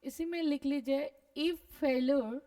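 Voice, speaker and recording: Slow, high-pitched Indian woman lecturer. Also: mellow. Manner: explanatory, repeats points, asks and answers own questions. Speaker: teacher of company law classes. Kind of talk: lecture with slow dictation, switching between Hindi and English. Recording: voice distant from the microphone.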